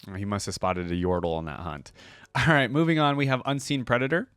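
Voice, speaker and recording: A man's voice: mid-range voice, steady tone, brisk, fairly bright tone, smooth, no echo, clean studio sound. A young man giving casual, slangy reaction commentary, musing aloud as he goes. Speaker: reaction video host.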